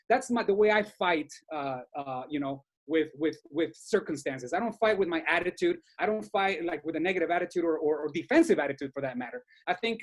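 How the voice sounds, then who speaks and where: A man from Guatemala speaking earnestly as a panelist in a group discussion on a video call, often restarting and repeating words. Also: a little high.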